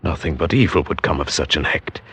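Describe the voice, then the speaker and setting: An actor playing a grave, anxious gentleman friend who recounts gothic horror in solemn, portentous classic radio drama style. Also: rumbling low, fairly quick, slightly rough, dry recording, exaggerated voice. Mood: grave concern. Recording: vintage radio drama with music underneath. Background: music.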